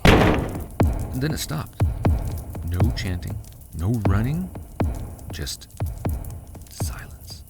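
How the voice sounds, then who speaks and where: A man playing a weary husband and father, narrating a horror audio drama in the first person with dramatic pauses. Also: on the low side, very animated, vocal fry, in a whisper, over loud music, heavily acted. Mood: tense, frightened. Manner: slow, dramatic storytelling with heavy pauses. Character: weary husband and father recounting a terrifying cabin getaway. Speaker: narrator and actor.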